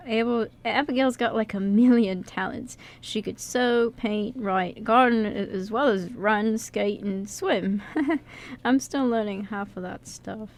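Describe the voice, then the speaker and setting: A woman's voice: fairly high pitch, slow. A woman acting a nervous, good-natured young man in an amateur radio play, stammering and hesitant as he puts himself down. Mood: bashful, amused. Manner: heavily stammering, hesitant, self-deprecating.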